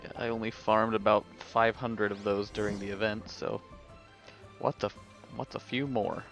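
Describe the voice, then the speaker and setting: Low, creaky man streaming a game. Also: level tone, normal speed, fairly bright tone, faint music behind. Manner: wry, sarcastic. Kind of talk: casual live game commentary.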